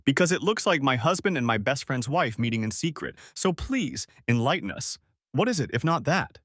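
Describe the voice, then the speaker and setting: A man's voice: medium pitch, very animated, a little fast, fairly bright tone, no room sound, clean studio sound. A man voicing a furious betrayed wife in a story, biting and indignant. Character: furious betrayed wife, sharp-tongued and scornful.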